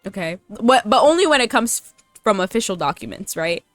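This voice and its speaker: A woman's voice: mid-range voice, very animated, brisk. A woman gaming YouTuber giving casual, informal playthrough commentary in a chatty way. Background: faint game music.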